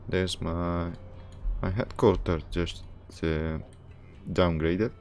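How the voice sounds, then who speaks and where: A man from Romania hosting a casual gaming livestream, thinking aloud in a halting, hesitant way. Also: low voice, little pitch movement, darkish tone, a little hiss or hum. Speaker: livestream host playing a game.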